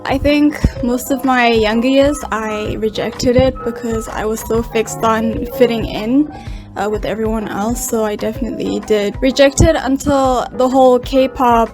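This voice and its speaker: A woman's voice: on the high side, fairly even intonation, normal speed, music in the background. A young woman answering interview questions in a candid, conversational way.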